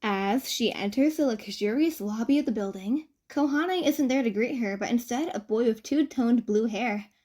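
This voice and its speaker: High-voiced woman narrating an audio story. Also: moderate pace, few pauses, darkish tone. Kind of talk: expressive fan fiction audiobook narration.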